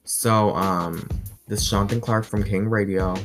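A teenage boy with a deep voice, hosting his own vlog in a chatty, blunt rant that swears freely. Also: fairly even intonation, unhurried, over music. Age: teens. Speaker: vlog host. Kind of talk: casual ranting monologue.

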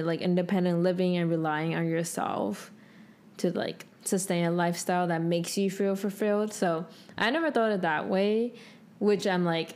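A young woman talks in a voice pitched a little low. She sounds like a podcast co-host in casual conversation, working out her thoughts as she goes. Her phrasing is loose and informal, full of filler "like". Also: darkish tone. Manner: informal, thinking aloud, peppered with "like". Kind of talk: casual chat.

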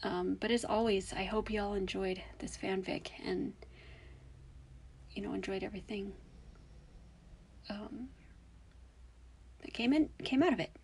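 A woman's voice: medium pitch, unhurried, gravelly, pauses often, a little mellow, breathy edge. A woman with a young-sounding voice hosting a podcast, musing hesitantly with many fillers. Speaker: podcast host. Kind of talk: casual solo podcast commentary.

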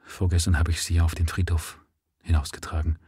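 A man's voice: low voice, little pitch movement, a little fast, dark tone, husky, no echo. A man voicing a gaunt, pale widowed father just out of prison, pleading in a trembling, urgent voice.

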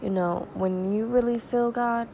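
A woman hosting a devotional podcast, sounding stuffy and raspy from crying, talking earnestly and praying in a conversational way. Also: on the high side, steady tone, warm tone, a little hiss or hum. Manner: earnest, conversational, slow and prayerful. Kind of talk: informal devotional talk and prayer.

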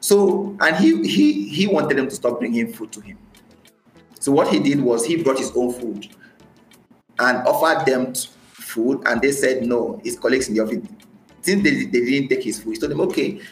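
A man's voice: mid-range voice, animated, normal speed. Nigerian guy chatting as a podcast guest, rewords himself a lot, roomy echo, bit of music underneath. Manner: repeats and rephrases phrases for emphasis. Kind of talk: conversational interview, recounting personal experience.

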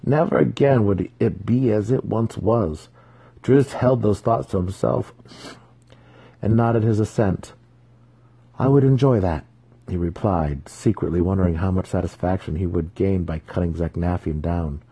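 A man's voice: deep voice, normal speed, dark tone, breathy edge, no echo. A man narrating a fantasy audiobook in a dramatic storytelling style.

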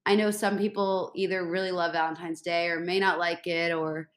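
A woman's voice: lowish voice, little pitch movement, moderate pace. Bubbly congested young woman, echoey room. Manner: bubbly, rambling, says like a lot. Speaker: podcast host. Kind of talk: casual solo chat.

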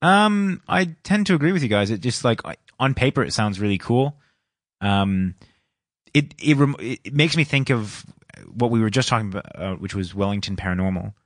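A man co-hosting a casual podcast chat, telling stories with lots of laughter and animated, shifting delivery. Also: on the low side, normal speed, no echo, clean studio sound. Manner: animated storytelling, laughs often. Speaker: podcast co-host.

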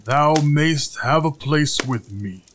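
A man voicing a huge, gruff, dim-witted giant in a fairy tale, with slow, ponderous, archaic speech. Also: a little high, a bit bright, slight background noise, pitched above the performer's own voice. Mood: gruff, menacing.

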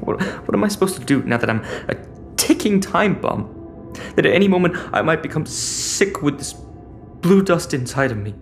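A man's voice: fairly high pitch, very animated, normal speed, faint music behind. An actor playing a wounded, distrustful teenage boy in a sci-fi audio drama. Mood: distressed.

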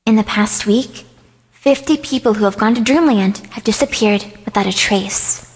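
A woman's voice: medium pitch, moderate pace, natural voice. A woman playing a polite, studious and level-headed teenage girl in an anime fan dub, speaking earnestly. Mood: concerned. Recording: reverberant sound.

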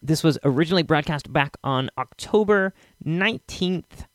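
Informal male podcast host, fairly high voice. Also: animated, moderate pace, dry recording. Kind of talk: casual solo podcast introduction.

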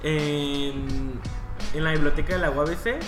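Dry-joking man, high voice. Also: fairly even intonation, a little slow, roomy sound, music in the background. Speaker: podcast co-host. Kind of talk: casual chat among friends.

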